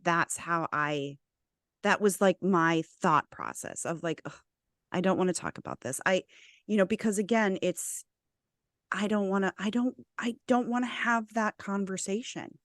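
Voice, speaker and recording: A woman hosting a podcast, chatty and conversational, with quick asides and restarts. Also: medium pitch, no echo, studio quality. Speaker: podcast host. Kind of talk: conversational interview.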